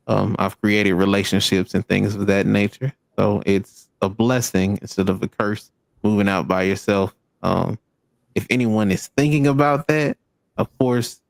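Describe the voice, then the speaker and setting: A man's voice: fairly low pitch, vocal fry, dry recording. Southern American man chatting, dry close sound. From Arkansas, United States. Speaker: guest, video game streamer. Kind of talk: casual interview chat.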